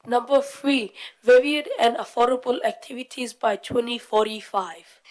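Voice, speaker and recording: A woman's voice: fairly high pitch, normal speed, darkish tone, rough recording. A young woman from Fiji reading out her part of a prepared group presentation. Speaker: youth forum presenter.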